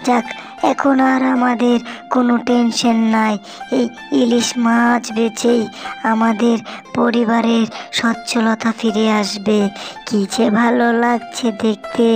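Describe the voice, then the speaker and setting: A woman's voice: a little high, flat delivery, slow. A woman voicing a practical, comforting village mother in a cartoon, with a rural Bengali dialect. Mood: amazed, relieved. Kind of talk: cartoon voice acting. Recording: music under the voice.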